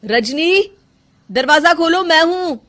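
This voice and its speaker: A woman's voice: very high voice, expressive, takes it slowly, bright, forceful tone, pitched above the performer's own voice, heavily acted. A woman voicing an earnest, affectionate young husband in a cartoon story, insistent and questioning with concern. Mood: alarmed, scolding. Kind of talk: acted cartoon storybook dialogue.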